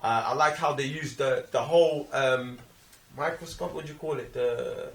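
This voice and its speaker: A young man with a London accent chatting casually about a film in an animated, slangy way. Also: mid-range voice, moderate pace, gravelly. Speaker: film review show host. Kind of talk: casual movie review chat. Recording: roomy, distant microphone.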